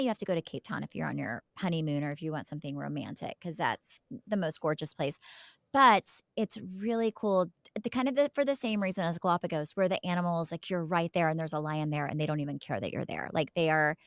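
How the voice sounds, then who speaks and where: A woman with a fairly low voice chats casually as a podcast co-host. She talks emphatically, doubling up words to stress a point. The sound is dry and close. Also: animated, fast talker, vocal fry, no room sound. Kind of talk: casual chat.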